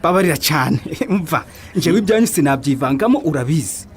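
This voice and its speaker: A man's voice: fairly high pitch, animated, fast talker, a little hiss or hum. A man with a Rwandan accent playing a suspicious, teasing bar worker in a radio drama, stammering and mocking. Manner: stammering repeats, chuckling, mocking.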